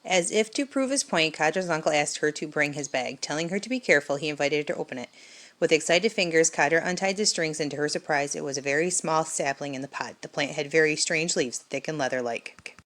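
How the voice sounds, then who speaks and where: A woman's voice: sits low, fairly quick, a bit bright. A woman reading a children's story aloud as narration. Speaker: storybook reader.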